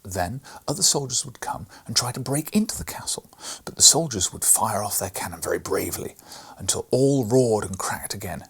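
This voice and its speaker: A man reading a bedtime storybook aloud in a soft, hushed delivery with a breathy voice. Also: fairly low pitch, expressive, normal speed. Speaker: storybook reader.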